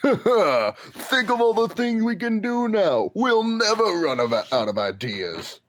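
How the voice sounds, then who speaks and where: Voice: mid-range voice, big pitch swings, slow pace, pitched above the performer's own voice. An amateur performer voicing a scheming, swaggering cartoon villain in a theatrical live comic dub. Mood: greedy glee.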